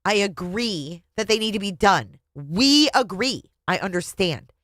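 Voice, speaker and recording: A woman voicing an exasperated wife in a story, pleading and high-strung as she begs to be understood. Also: expressive, measured pace, a bit bright, dry recording. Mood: reasoning, frustrated.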